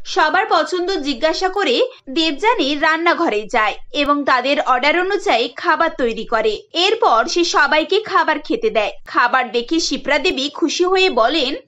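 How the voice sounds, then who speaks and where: A woman's voice: high-pitched, animated, normal speed, sharp tone. A woman narrating a scripted cartoon family story. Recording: muffled audio with music behind.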